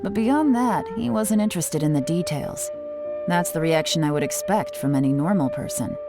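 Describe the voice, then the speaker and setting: An actress playing a poised, shrewd young woman investigator in a video game, measured and slightly theatrical, with courteous phrasing. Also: lowish voice, wildly swooping pitch, over music. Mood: shrewd, skeptical. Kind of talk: scripted video game voice acting.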